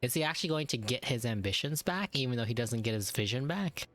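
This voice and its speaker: A woman's voice: very deep voice, animated, fast. A woman gameplay streamer giving casual reactions while playing a video game.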